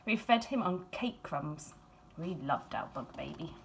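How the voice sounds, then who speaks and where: A woman reads a children's storybook aloud expressively, in a measured storytelling manner with dramatic emphasis and hushed phrases. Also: deep voice, lively intonation, vocal fry, background noise. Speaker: school staff member reading a picture book aloud.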